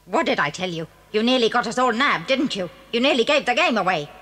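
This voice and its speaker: An actress voicing an angry, territorial rat in a children's audio drama, scolding indignantly. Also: female voice, fairly high pitch, animated, fairly quick, fairly bright tone, big-room sound. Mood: scolding, defiant. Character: angry, territorial, bad-mannered cellar rat.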